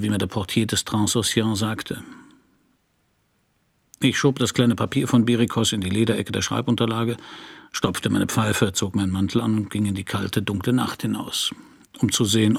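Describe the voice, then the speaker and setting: An actor playing a wry, hard-boiled private detective who narrates laconically in a German radio crime drama. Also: male voice, on the low side. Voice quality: husky, gravelly. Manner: laconic, dry, sardonic.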